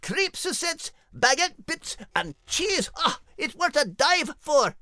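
A chatty little Scottish creature for a children's audio drama. The voice is high and swings up and down freely. It is folksy, cheerful and full of jokes, recorded close and dry. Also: female voice, slow pace, no room sound, heavily acted. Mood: wistful, jokey. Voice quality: high-pitched, animated voice.

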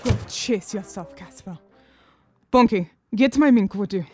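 A woman's voice: medium pitch, a little slow, a little mellow, faint music behind, lightly acted. An actress playing a proud, defiant Harlem numbers queen in a period radio drama, with a commanding, blunt, streetwise manner. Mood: curt.

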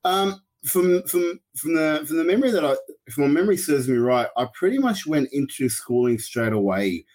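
A man's voice: on the high side, animated, normal speed, a little mellow, low-quality recording. Lebanese-born man in his forties. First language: Arabic. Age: 40s. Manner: reflective, recounting memories. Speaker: guest, business coach. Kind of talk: podcast interview.

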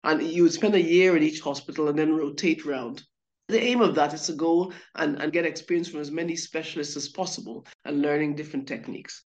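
Middle-aged woman, low-voiced interview guest. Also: a little fast. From born in Guyana, schooled in England. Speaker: interview guest, consultant surgeon. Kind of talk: measured career account in an interview.

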